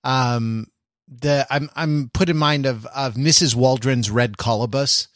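A man's voice: mid-range voice, fairly even intonation, fairly bright tone, dry recording, clean studio sound. A man co-hosting a podcast, talking in a casual, bookish way and often searching for his words. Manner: halting, searching, bookish digressions. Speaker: podcast co-host. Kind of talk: casual intellectual discussion.

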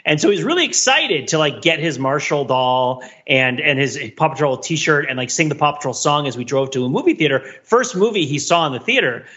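A man co-hosting a podcast, talking casually and digressively about films in an animated, wryly analytical way. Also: a little high, fairly quick, few pauses, lo-fi sound. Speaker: podcast co-host. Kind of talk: casual film discussion, rambling commentary.

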